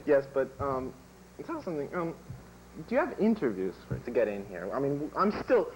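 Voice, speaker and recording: A man's voice: medium pitch, sing-song, a little fast, a little mellow, poor audio. Teen boy interviewer rambling, tons of "like", medium voice, bit of hiss. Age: teens. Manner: rambling, full of "like" and "um". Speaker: student interviewer. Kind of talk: improvised school TV sketch.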